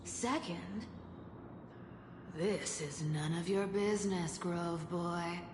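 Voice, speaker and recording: In an echoing, noisy hall, a defiant young trickster for a game. She sounds slightly low and takes her time, cool and teasing. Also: slow. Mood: curt defiance. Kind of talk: video game voice acting. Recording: echoey hall, clear background noise.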